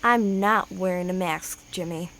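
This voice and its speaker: A woman's voice: lowish voice, unhurried, fairly bright tone. A young female performer playing a sassy, teasing girl in an amateur radio drama. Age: child.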